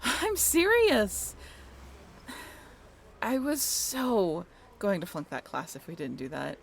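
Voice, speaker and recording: A woman's voice: mid-range voice, huge pitch swings, takes it slowly, gravelly, a little hiss or hum, heavily acted. A young woman playing a friendly, teasing sailing-ship crew member in an amateur audio roleplay, chatty and informal with a joking streak. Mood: fond, casual. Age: young adult.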